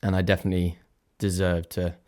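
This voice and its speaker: A young man hosting his own podcast, a little croaky, chatting casually and thinking aloud in a self-deprecating way. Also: low voice, level tone, fast, warm tone. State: slightly croaky voice. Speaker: podcast host. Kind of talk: casual solo podcast chat.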